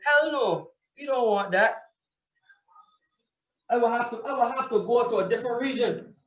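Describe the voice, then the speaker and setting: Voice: mid-range voice, moderate pace, a bit bright. A chef explaining a dish step by step on a video call, often checking in with "right?" from a home kitchen. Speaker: chef cooking live. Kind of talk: cooking demonstration on a video call. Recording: distant echoey room with faint noise.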